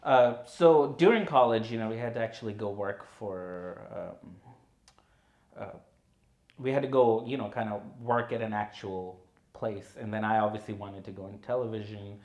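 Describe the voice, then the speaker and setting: A man, a filmmaker guest on a podcast, telling his story in a relaxed way full of "you know" and "right". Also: lowish voice, moderate pace, roomy sound, dull audio. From India. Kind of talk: conversational podcast interview.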